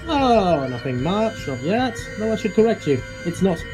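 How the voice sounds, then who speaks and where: Guy acting obsessed monster hunter type, flippant n theatrical, pitch all over the place, music under it, sci-fi audio play. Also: big pitch swings, moderate pace. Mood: gleefully sly. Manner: theatrical, flippant, wordy, wry. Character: reckless, obsessive male eccentric hunting a monster. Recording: music behind the voice.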